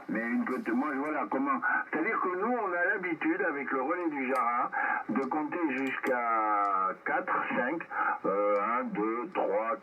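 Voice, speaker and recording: A man chatting casually as an amateur radio operator, rambling and repeating his words over a radio transmission. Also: medium pitch. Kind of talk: casual radio contact chat. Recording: noisy, muffled, distant amateur radio transmission.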